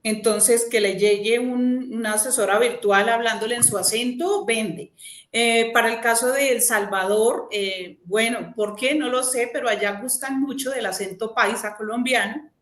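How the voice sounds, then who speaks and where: Panelist, woman, higher voice, measured and explanatory, echoey room sound. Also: a bit bright. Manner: measured, explanatory, pauses with fillers. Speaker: panelist from a vacation company. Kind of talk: webinar panel talk.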